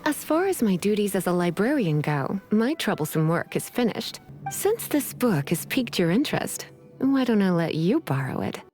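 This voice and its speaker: Game mage voiced by a woman: sultry, poised, condescending librarian, very expressive pitch, faint music underneath. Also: moderate pace, natural voice. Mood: languid, courteous. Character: elegant witch librarian, sultry, teasing, quietly menacing. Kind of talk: video game voice acting.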